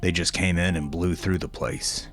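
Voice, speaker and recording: A man's voice: deep voice, steady tone, normal speed, a bit bright. A man voicing a shaken, plain-spoken eyewitness recounting events in a radio interview.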